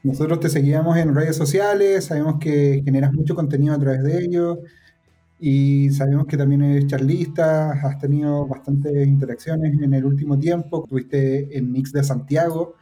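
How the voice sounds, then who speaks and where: A man's voice: fairly high pitch, fairly even intonation, normal speed, warm tone, soft background music. A man hosting a podcast interview, introducing his guest at length in a friendly way. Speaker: podcast host. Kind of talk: interview host.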